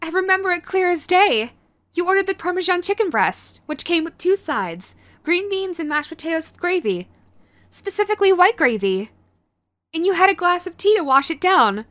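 A woman playing a sweet, clingy yandere girlfriend in an audio roleplay, nervous and halting, gushing and apologetic as she tries to reform. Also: extremely high pitch, very animated, fairly bright tone, no room sound, exaggerated voice. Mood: proud, affectionate. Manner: halting, gushing, apologetic, swinging between eager and sheepish. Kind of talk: romantic audio roleplay, acted to the listener.

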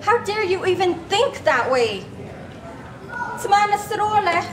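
A woman's voice: animated, rapid-fire. A woman on stage playing a reproachful immigrant mother in a staged reading, with a scolding delivery. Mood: angry, scolding. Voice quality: high-pitched, scolding. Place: large echoey public hall with glass balcony. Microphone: handheld microphone, heard through room speakers. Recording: echoey hall, noisy room ambience.

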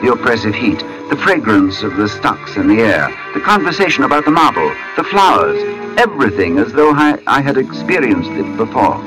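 An actor playing an uneasy artist who narrates an eerie tale in a brooding, deliberate 1940s radio suspense style. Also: male voice, medium pitch, sing-song, lightly acted, rough recording. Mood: uneasy, haunted. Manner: brooding, deliberate, halting when shaken. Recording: old radio recording with music behind.

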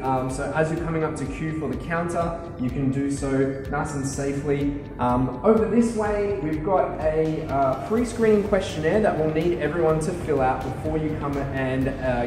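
A young man in his twenties giving a friendly, filler-heavy walkthrough of a large indoor gym, moving about and pointing as he talks. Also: fairly high pitch. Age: 20s. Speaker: gym staff presenter. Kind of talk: walkthrough tour video. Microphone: camera mic from a few metres off. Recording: echoey gym hall from a distance, music behind. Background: background music.

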